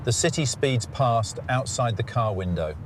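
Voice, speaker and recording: A man's voice: medium pitch, animated, unhurried. A man reading scripted story narration and voicing its dialogue, with car sounds behind him. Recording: clear car and traffic noise behind.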